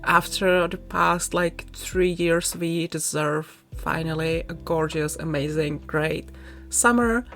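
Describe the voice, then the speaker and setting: Low-pitched woman chatting casually. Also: slow pace, a bit bright, faint music behind. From Czech Republic. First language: Czech. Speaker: video creator narrating a game build. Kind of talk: casual rambling voice-over chat.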